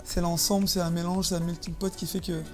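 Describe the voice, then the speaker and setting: A woman's voice: lowish voice, little pitch movement, fast, soft background music. A woman of about thirty from Paris, speaking casually as an interview guest, often repeating words as she searches for phrasing. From Paris, France.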